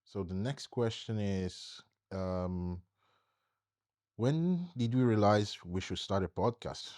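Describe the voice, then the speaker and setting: A man's voice: on the low side, expressive, slow, a little mellow, no room sound. A young man from Italy co-hosting a podcast in an easygoing, informal, conversational way. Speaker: podcast co-host. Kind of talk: casual conversation.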